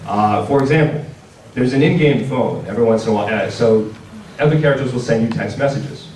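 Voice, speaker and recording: A man giving an informal convention panel presentation in a hesitant, halting way. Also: medium pitch, darkish tone. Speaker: panel presenter for a game publisher. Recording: distant mic in echoey, noisy room.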